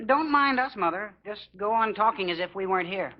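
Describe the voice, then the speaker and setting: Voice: mid-range voice, animated, brisk, a little hiss or hum, close to an everyday voice. An actor playing a stuffy, sulky teenage boy in a 1950s radio family comedy. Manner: stuffy, pompous. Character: pompous, sulky teenage boy, puny young poet. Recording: muffled old radio recording.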